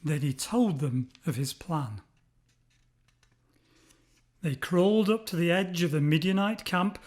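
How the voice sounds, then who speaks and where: A man's voice: fairly high pitch, expressive, unhurried, a little mellow. A man narrating a scripted children's story expressively, as a storyteller.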